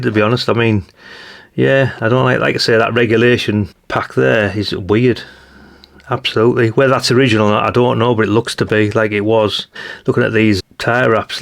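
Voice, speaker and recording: A British man with a gravelly voice giving a casual, rambling hands-on commentary as he thinks aloud. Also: lowish voice. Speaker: hobbyist radio channel host.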